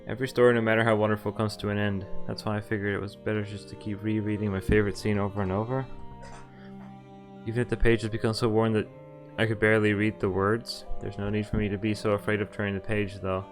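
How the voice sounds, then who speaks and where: Man, slightly low voice, conversational game read-aloud, occasional stumbles, faint music behind. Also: flat delivery, fast talker. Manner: conversational, stumbles over lines and corrects himself. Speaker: streamer reading a visual novel aloud. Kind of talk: live read-aloud of visual novel dialogue and narration. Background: visual novel music.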